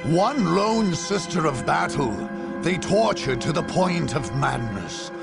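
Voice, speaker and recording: An actor voicing a grave, ominous chronicler of war in dramatic scripted game narration, with a gravelly, husky voice and deliberate delivery. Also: male voice, a little high, big pitch swings, over loud music, exaggerated voice. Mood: grim relish.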